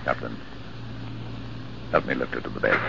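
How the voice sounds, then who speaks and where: An actor playing a calm, gently consoling doctor with a soft, soothing manner, in 1940s radio acting on a noisy old recording. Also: male voice, very deep voice, moderate pace, creaky, dull audio, heavily acted.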